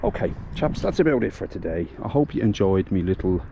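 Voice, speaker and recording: A man's voice: deep voice, big pitch swings, a little fast, gravelly, background noise. A man with a Dublin accent, talking casually and from the heart as he vlogs outdoors, with lots of fillers and restarts. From Ireland. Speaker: vlogger. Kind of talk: casual vlog commentary.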